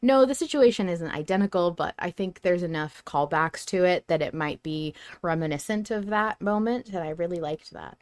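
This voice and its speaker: A woman's voice: fairly low pitch, animated, normal speed, few pauses, a little mellow, no echo, clean studio sound. A woman co-hosting a podcast in a casual, emphatic fan discussion, swearing freely. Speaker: podcast co-host.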